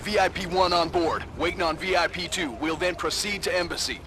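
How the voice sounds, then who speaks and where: Male actor for game soldier: higher-set voice, terse, alert, matter-of-fact field reports. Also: steady tone, a little fast, close to an everyday voice. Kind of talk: video game military radio report.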